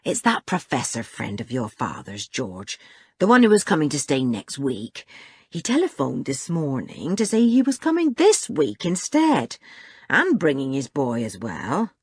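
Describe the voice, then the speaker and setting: Storybook cook, played by a woman: kindly, homely, fussing, lowish husky voice, animated chat. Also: unhurried, no room sound, clean studio sound, full character voice. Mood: explaining. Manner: homely, chatty, gently scolding. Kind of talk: children's audiobook character voice.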